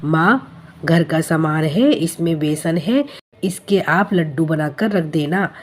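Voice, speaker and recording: A woman's voice: deep voice, slow. A woman voicing an earnest, naive young male woodcutter in a cartoon story. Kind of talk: Hindi cartoon story dubbing.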